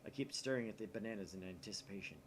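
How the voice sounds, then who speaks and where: A man's voice: lowish voice, racing, slight background noise. A man reading first-person visual novel narration aloud as a storyteller. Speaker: gaming channel reader and narrator.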